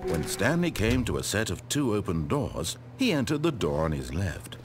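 A man's voice: fairly low pitch, wildly swooping pitch, normal speed, music in the background. A man playing an omniscient, sardonic video game narrator, articulate and condescending, toying cruelly with his character. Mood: wry, storybook calm. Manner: theatrical, mocking, condescending, articulate.

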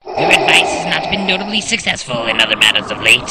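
A man playing a scornful old evil emperor in a video game cutscene, haughty, drawn-out and dismissive. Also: fairly high pitch, very animated, heavy background noise. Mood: cold contempt.

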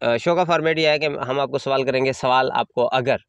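A man's voice: medium pitch, steady tone, normal speed, a bit bright, clean tone, dry recording, dull audio. A young man hosting a spoof interview, teasing his guest in formal phrasing and laughing at his own jokes. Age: young adult. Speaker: comedy host. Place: small indoor studio with patterned backdrop.

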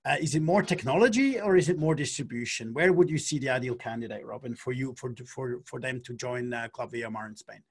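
A man's voice: mid-range voice, brisk, few pauses, slightly rough. A man in his forties moderating an online panel from a home office, upbeat, with many fillers and repeated words. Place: home office, video call.

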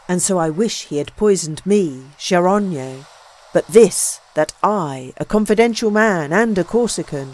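A reader voicing a proud, grief-stricken Corsican sea captain who declaims bitterly and loudly about a traitor in his family. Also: medium pitch, close to an everyday voice. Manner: fierce, loud, bitter declamation.

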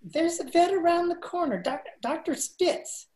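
A woman's voice: very high voice, a little slow, darkish tone. A woman playing an earnest, hesitant man in an old-time radio comedy, recorded over a video call. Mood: relieved, hurried. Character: hurried, grateful dog owner Mister Zinser. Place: room at home, video call.

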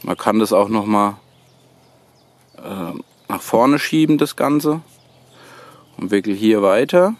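Slow-spoken, low-voiced man. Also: lively intonation. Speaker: host of a bushcraft tutorial channel. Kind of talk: hands-on how-to demonstration. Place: outdoors on a woodland floor, birdsong behind.

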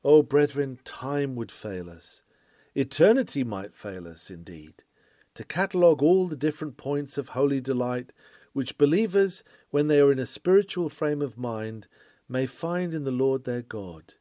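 Earnest, mellow man reading a sermon. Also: mid-range voice, animated, moderate pace, dry recording, clean studio sound. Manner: earnest, declamatory, formal. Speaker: sermon reader. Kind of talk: read-aloud classic sermon, oratorical.

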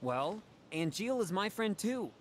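Earnest young warrior, unhurried. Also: male voice, high-pitched, sing-song, slight background noise. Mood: earnest, pleading. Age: young adult. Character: young male warrior, earnest, loyal, hopeful. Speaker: video game voice actor. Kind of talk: video game dialogue. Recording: loud game music over the dialogue.

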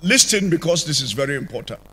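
An older man with a Nigerian accent, telling his conversion testimony as a church apostle, with sudden rises and drops in loudness, on a handheld mic in a large church. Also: fairly high pitch, big pitch swings, fast talker, breathy edge. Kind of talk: testimony sermon. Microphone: handheld microphone.

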